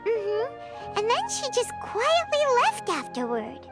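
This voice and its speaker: A woman voicing a small, chirpy, childlike fairy companion in a video game, squeaky and exclamatory. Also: huge pitch swings, slow pace, loud music behind the voice, exaggerated voice. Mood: concerned.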